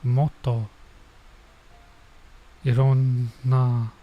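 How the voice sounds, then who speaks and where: A man streaming a visual novel, reading lines aloud and commenting casually, haltingly sounding out some words. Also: mid-range voice, little pitch movement, dull audio.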